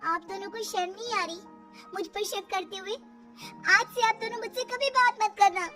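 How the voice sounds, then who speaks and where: Woman as a plaintive, very high-voiced little sister. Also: normal speed, over music. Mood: indignant. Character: indignant young sister, plaintive and stubborn.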